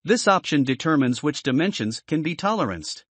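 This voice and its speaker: A man giving scripted, instructional software tutorial narration in a measured, explanatory manner. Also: fairly high pitch, big pitch swings, slightly rough, no room sound.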